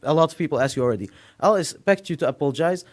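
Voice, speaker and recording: A man speaks at a podium mic in a public meeting room, his voice sitting a bit high for a man. He confronts an official head-on in a public comment, pressing each point firmly. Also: lively intonation, very fast. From Lebanon. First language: Arabic. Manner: confrontational, addresses an official directly.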